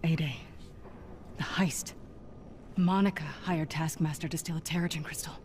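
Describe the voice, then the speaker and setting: A woman's voice: sits low, steady tone, normal speed, darkish tone, a little hiss or hum, close to an everyday voice. A woman playing a guarded, earnest spy superhero in a video game, serious and intense. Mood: earnest, concerned. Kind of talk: cinematic video game acting.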